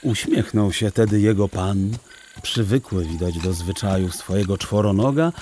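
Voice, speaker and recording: A man narrating a children's audio drama in a measured, literary storybook manner. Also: lowish voice, darkish tone, slight background noise.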